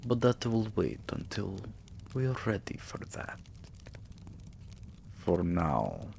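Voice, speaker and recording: Very deep, slow vampire king played by a man. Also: a bit bright, slight background noise, heavily acted. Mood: teasing, devoted. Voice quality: breathy, half-whispered, gravelly. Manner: slow, soothing, affectionate murmuring. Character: tender, possessive vampire king, doting lover. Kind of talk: intimate ASMR roleplay.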